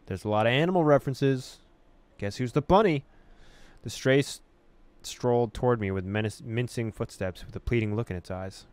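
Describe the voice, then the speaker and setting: A man hosting a gaming video, reading game text aloud in an expressive storytelling way with casual, amused asides. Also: mid-range voice, normal speed, clean tone, no room sound.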